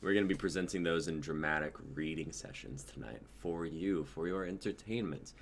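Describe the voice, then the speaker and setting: A man's voice: rumbling low, fairly quick. A man in his twenties casually hosting a livestream in an informal, hyped, sweary way. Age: 20s. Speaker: host of a livestreamed script-reading show. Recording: noisy home livestream audio.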